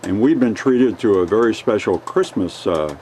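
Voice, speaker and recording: A man's voice: fairly low pitch, animated, normal speed, dark tone, rough recording. A man hosting an on-location interview in a home basement, earnest and folksy, stretching out his phrases. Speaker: video host interviewer. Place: home basement with train layout. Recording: faint background noise.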